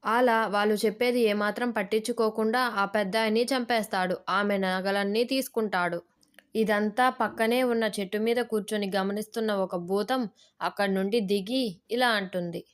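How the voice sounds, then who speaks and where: A woman's voice: mid-range voice, steady tone, moderate pace. A woman giving scripted narration for a moral story.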